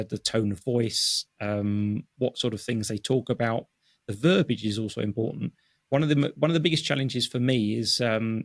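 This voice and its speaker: A man speaking English as his first language, a guest explaining his writing process in a relaxed interview, with many fillers and restarts. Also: medium pitch, no room sound. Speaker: guest, technical writer. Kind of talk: conversational interview. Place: home office.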